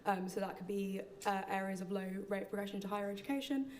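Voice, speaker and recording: A woman's voice: mid-range voice, fast, a little mellow, a little hiss or hum. A young woman asking a long, friendly question, with many fillers. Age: young adult. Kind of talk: question from the audience.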